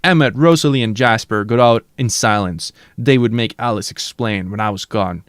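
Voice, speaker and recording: A man's voice: on the low side, normal speed, dry recording. Man reading a novel aloud on stream. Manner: casual and slangy between passages. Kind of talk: dramatic novel reading with casual chat.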